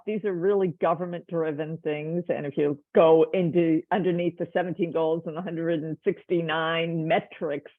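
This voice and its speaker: A woman's voice: lowish voice, dry recording. A woman speaking as a panelist on a video call, thoughtful and hedging with fillers. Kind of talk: virtual panel discussion. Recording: tinny phone-like dry sound.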